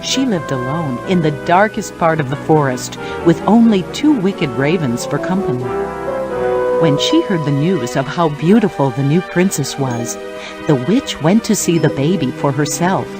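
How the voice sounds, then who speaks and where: A woman playing a kindly fairy godmother, gently narrating a children's storybook game. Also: low voice, lively intonation, normal speed, loud music behind the voice, poor audio. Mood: gentle storytelling. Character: kindly female fairy godmother telling fairy tales.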